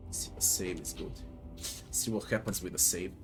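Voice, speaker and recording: A man's voice: deep voice, moderate pace. A man from Serbia with a Serbian accent gives casual game commentary, grumbling, swearing and muttering to himself. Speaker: gamer doing a playthrough. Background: faint game music.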